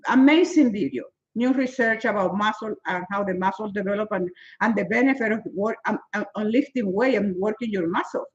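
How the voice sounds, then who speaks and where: A woman's voice: medium pitch, brisk, hardly any pauses. Emphatic woman in her seventies, lo-fi. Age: 70s. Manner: emphatic, repetitive, non-native phrasing. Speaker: fitness motivational speaker. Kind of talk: live-stream chat answering viewers.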